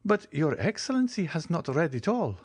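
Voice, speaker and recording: Guy putting on a squeaky old italian major, timid and fawning, voice jumps all over. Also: big pitch swings, normal speed, darkish tone, husky, no room sound, studio quality. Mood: eager, fawning. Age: older.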